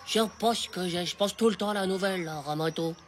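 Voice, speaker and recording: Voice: mid-range voice, quick pace, lightly acted. A cocky ten-year-old cartoon schoolboy, played by an adult actor, speaking in slangy bursts. He gets defensive and stammers when flustered, over noticeable background noise. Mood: lovestruck, denying. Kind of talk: animated cartoon dialogue.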